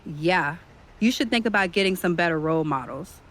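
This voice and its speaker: A woman's voice: fairly low pitch, animated, normal speed, fairly bright tone. A woman playing a confident, shrewd woman wrestling star in a video game cutscene, speaking in an assertive, dismissive manner. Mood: cool, assertive.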